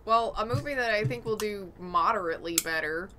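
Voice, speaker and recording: A woman's voice: medium pitch, brisk, sharp tone, a little hiss or hum. A woman co-hosting a casual gaming chat over an online video call. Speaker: podcast co-host.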